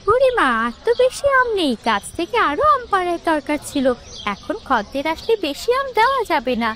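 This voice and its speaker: A woman's voice: very high voice, sing-song, normal speed, fairly bright tone, slight background noise, exaggerated voice. A woman voicing an eager, coaxing young village girl in a cartoon fairy tale.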